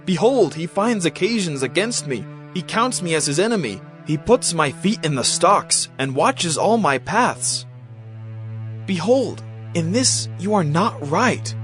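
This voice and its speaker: Fervent young challenger in Bible drama. Highish voice, very animated, insistent declamation, music underneath. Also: male voice, exaggerated voice. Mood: rebuking. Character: fervent, indignant young man rebuking his elders. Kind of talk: dramatized scripture reading.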